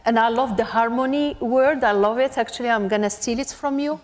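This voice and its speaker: Woman from morocco on a panel, fairly high voice, goes point by point, big echoey hall. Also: steady tone, a little fast. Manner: lays out points in numbered lists. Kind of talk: panel discussion. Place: conference hall.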